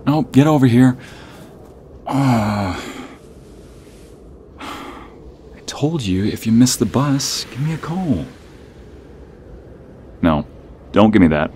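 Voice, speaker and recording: A man playing a protective, caring friend in an ASMR roleplay, speaking softly and haltingly, often dropping to a breathy near-whisper. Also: mid-range voice, very animated, slight background noise. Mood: urgent, concerned. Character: protective, caring male friend, playful turning worried. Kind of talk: ASMR roleplay audio drama.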